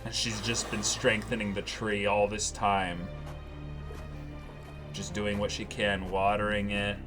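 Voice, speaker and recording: A man's voice: fairly low pitch, level tone, a little slow. A man giving casual, exclamation-heavy live reaction commentary to an anime episode, swearing freely. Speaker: reaction show host. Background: show soundtrack music.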